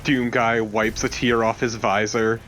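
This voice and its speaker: A man's voice: mid-range voice, flat delivery, a little slow, a bit bright, slight background noise. A man giving casual, joking and sweary co-commentary on a video game. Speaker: let's play co-commentator.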